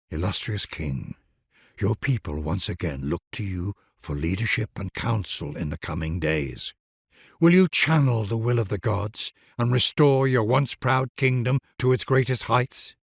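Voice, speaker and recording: Solemn gravelly man narrating grandly. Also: mid-range voice, no room sound, studio quality. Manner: solemn, grandiose, formal address to a ruler. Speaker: narrator of game leader introductions. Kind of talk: scripted dramatic narration in a video game.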